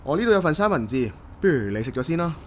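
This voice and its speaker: A man acting a kind, calm stranger in a radio drama, gentle and reassuring, with a Hong Kong Cantonese accent. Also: fairly high pitch, quick pace, a little hiss or hum. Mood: concerned, comforting. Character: kind, calm, reassuring male bus acquaintance.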